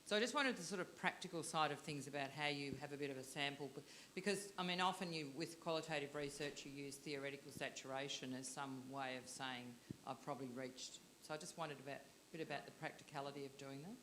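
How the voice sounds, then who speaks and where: A woman's voice: deep voice, fast, hardly any pauses, a bit bright, rough recording. A woman researcher in the audience asking a spontaneous question at a conference. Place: hotel conference room. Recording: background room noise.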